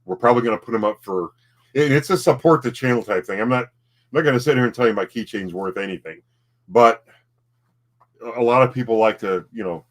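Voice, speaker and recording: A man co-hosting a casual home livestream, talking in halting bursts and often repeating his words. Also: mid-range voice, fairly bright tone. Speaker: livestream co-host, collectibles seller.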